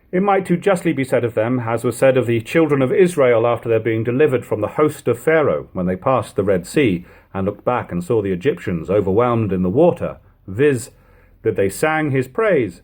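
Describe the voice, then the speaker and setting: A man narrating an eighteenth-century account as a dramatic, expressive audiobook reading. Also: medium pitch.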